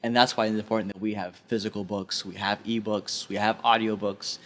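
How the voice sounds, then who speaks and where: A man's voice: on the low side, level tone, brisk, fairly bright tone. A man, a librarian, speaking earnestly in a podcast interview and repeating phrases for emphasis.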